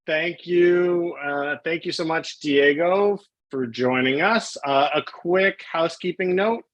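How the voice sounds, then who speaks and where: A man's voice: on the high side, slow pace, fairly bright tone, lo-fi sound. A man hosting a live online interview on a video call, friendly and informal as he introduces his guest. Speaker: host, webinar moderator.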